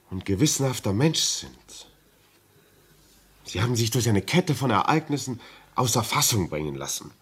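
An actor playing a rational, concerned gentleman in a radio play, speaking in an emphatic, admonishing yet reassuring manner. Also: fairly low pitch, slightly rough. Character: rational, concerned gentleman urging a troubled friend to reason. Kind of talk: German radio play acting.

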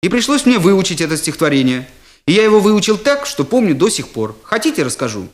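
High-voiced, animated male storyteller. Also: a little fast. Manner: expressive comic timing. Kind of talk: humorous first-person story performance.

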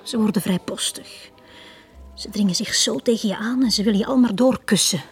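An actress playing a tired, forward harbour bar hostess in a radio drama, chatty and coaxing. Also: female voice, medium pitch, fairly quick, soft background music, close to an everyday voice. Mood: coaxing, weary. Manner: chatty, coaxing, plain-spoken. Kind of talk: 1980 radio drama acting.

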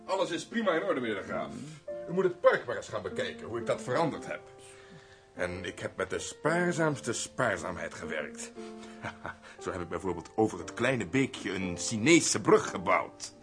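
A man's voice: fairly low pitch, sing-song, moderate pace, music in the background. An actor playing a pompous, obsequious estate servant in a period radio play, fawning and proud of his thrift. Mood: fawning, self-satisfied. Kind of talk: Dutch radio play acting, formal period dialogue.

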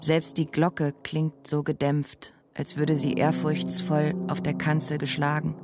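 A woman narrating a literary radio drama with deliberate, wry commentary. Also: deep voice, completely flat delivery, music in the background.

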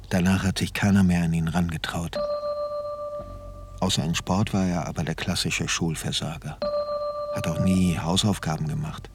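A man's voice: sits low, noisy background. An actor playing a narrator looking back on his schooldays in a radio drama, dry, laconic and understated.

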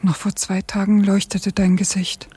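A woman in a radio play acting a grave, tender but disillusioned revolutionary in a hushed, breathy voice. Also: mid-range voice, completely flat delivery, moderate pace. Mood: anxious, tender.

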